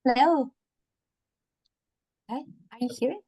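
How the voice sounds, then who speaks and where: A woman from Chile with a Chilean accent and native Spanish, giving halting feedback as a university educator on a video call, searching for words. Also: sits high, mellow, breathy edge. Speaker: university educator, audience member commenting. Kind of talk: spoken feedback in an online academic discussion.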